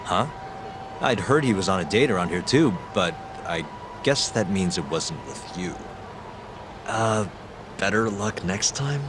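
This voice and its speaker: A man playing a laid-back, deadpan private detective in a video game dub. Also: low voice, measured pace, background noise. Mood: awkward.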